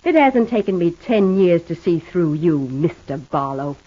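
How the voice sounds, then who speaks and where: Bitter, swooping sharp-tongued housekeeper. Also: female voice, lowish voice, sing-song, measured pace. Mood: wounded, bitter. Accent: American. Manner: sarcastic, wounded, clipped formality. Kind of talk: 1950s radio soap opera acting. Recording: muffled old radio recording.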